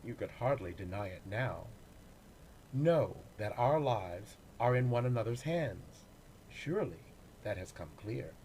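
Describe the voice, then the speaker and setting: Slow, measured man reciting poetry. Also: mid-range voice, darkish tone, slight background noise, rough recording. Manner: measured, deliberate phrasing with pauses. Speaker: actor reading poems.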